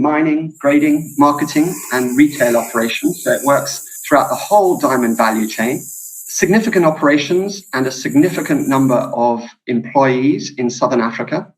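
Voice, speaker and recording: A man hosting a podcast, giving a measured introduction and reading out lists. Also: medium pitch, rough recording. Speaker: podcast host.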